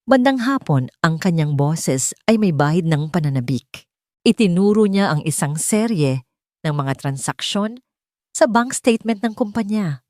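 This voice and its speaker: A woman narrates dramatic fiction in a low, mellow voice. The sound is close and dry, suited to an audiobook. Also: expressive, a little slow, dry recording. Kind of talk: scripted dramatic story narration.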